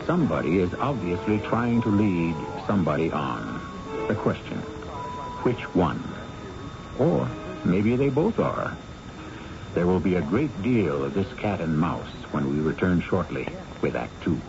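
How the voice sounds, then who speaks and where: Male suspense-show narrator: low, wry, expressive delivery, muffled vintage radio sound with music. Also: a little slow, creaky. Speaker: radio drama host and narrator. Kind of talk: dramatic scripted narration.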